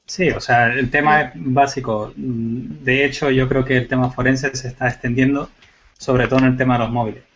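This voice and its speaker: Man, Canarian-Cuban accent, mid-pitched, chatty and joking, muffled online call audio. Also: moderate pace. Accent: Canarian Spanish mixed with Cuban. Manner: relaxed, chatty, joking asides. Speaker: guest panelist, forensic IT. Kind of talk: casual explaining on a video call panel. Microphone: video call.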